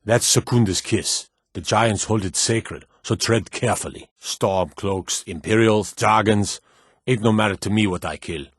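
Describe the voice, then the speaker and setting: A world-weary town guard from a fantasy video game. His voice sits low, with a slightly rough edge and a dry, close sound. He is stern and sparing with words, gruff in how he addresses people. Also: unhurried, dry recording. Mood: watchful, matter-of-fact. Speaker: game character voice.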